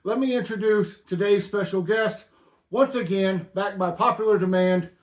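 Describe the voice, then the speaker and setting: A man hosting a casual stamp-collecting show, with dry, deadpan banter. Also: high voice, moderate pace, echoey room. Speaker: host.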